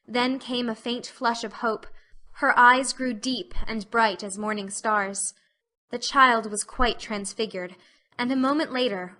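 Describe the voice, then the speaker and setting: A woman reads scripted audiobook narration. Her voice is fairly high with a bright, forward tone, telling the story as narrator.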